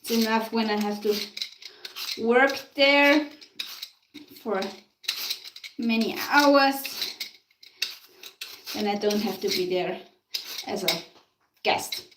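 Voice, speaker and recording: A fairly high-voiced woman from Tyrol speaks English with an Austrian German accent, chatting casually to her viewers while she bakes. She sounds roomy and distant, as if caught by a camera mic across an echoey home kitchen. Dishes and cutlery clatter clearly behind her. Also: slow, pauses often, noisy background. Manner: chatty, answers viewer comments, laughs often. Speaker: live-stream baking host. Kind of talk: casual live-stream chat while baking. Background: clinking dishes and cutlery.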